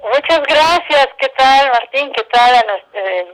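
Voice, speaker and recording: A woman's voice: sits high, lively intonation, unhurried, poor audio. A middle-aged woman with a Mexican accent speaking conversationally as a guest in a radio interview. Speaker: guest, performing arts director.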